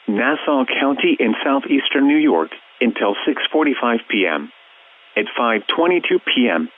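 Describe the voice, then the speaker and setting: A synthetic male announcer reading a scripted emergency weather bulletin in a formal, measured way. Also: mid-range voice. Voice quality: synthetic text-to-speech voice. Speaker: automated weather alert announcer. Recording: narrow, tinny weather radio sound.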